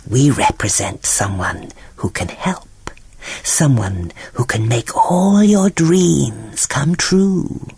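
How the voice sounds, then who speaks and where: A woman voicing an evil male eel henchman in a storybook, breathy, whispery and coaxing. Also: very deep voice, expressive, slow, a little mellow, voice pushed down, exaggerated voice. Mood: sinister coaxing.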